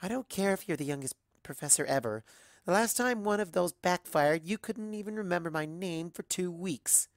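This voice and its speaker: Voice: medium pitch, animated, normal speed, no echo, clean studio sound, voice pushed up, lightly acted. An adult narrator voicing an affectionate, teasing, protective mare and wife in a fan fiction reading.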